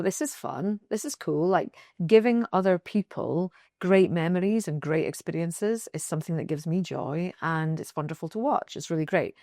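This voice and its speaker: A woman's voice: deep voice, darkish tone, dry recording, clean studio sound. A Scottish woman in her forties from the Shetland Islands, a podcast guest talking enthusiastically and rambling a little with restarts. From Shetland Islands, Scotland. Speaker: guest, fractional marketing chief. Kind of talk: casual interview chat.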